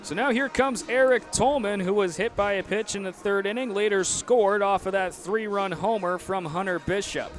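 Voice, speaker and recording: A man's voice: high voice, normal speed, hardly any pauses, faint music behind. A young American man doing live sports play-by-play commentary. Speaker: play-by-play announcer. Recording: outdoor ambient noise behind commentary. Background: ballpark or arena ambience.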